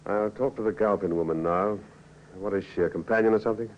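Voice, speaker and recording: An actor playing a blunt, probing police inspector who questions tersely in an old radio crime drama. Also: male voice, fairly low pitch, fast, natural voice. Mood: brisk. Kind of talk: 1950s radio drama acting. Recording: dull, noisy old radio recording.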